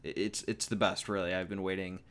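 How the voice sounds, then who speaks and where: A man's voice: deep voice, little pitch movement, a little fast, a bit bright, clear, resonant tone. A 21-year-old man casually answering viewer questions in a rambling, jokey way. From United States. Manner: rambling and jokey, with fillers and repeats. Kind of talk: casual Q&A vlog.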